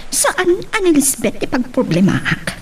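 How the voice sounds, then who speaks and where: Actress as fretful proud complainer, radio drama, high voice that swoops all over. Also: female voice, huge pitch swings, slow, a little hiss or hum, full character voice. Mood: aggrieved. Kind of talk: Ilocano radio drama acting.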